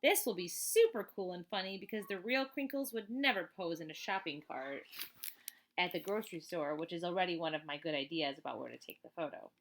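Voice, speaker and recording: A woman reading a children's book aloud as a teacher, in an expressive, chatty way with casual asides. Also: lowish voice, a little fast, a little hiss or hum. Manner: expressive, chatty, emphatic on list items.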